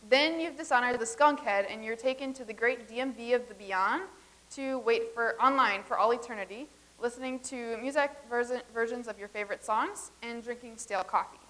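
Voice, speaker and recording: A woman's voice: on the high side, brisk, fairly bright tone, lightly acted. A woman reading the part of a visitor from the far future in a staged comedy reading, explaining everything in an earnest, matter-of-fact way.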